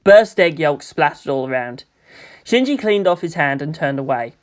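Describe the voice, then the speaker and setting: A man's voice: fairly high pitch, lively intonation, moderate pace. A man reading scripted fiction aloud as a storyteller, in the style of an audiobook narrator.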